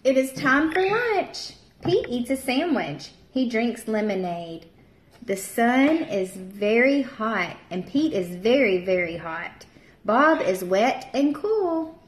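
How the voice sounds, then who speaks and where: A woman in her thirties reads a children's picture book aloud at a slow, patient pace. Her voice swoops up and down with playful character voices. She is recorded in an echoey room by a camera sitting at table distance. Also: on the high side, very animated. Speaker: teacher.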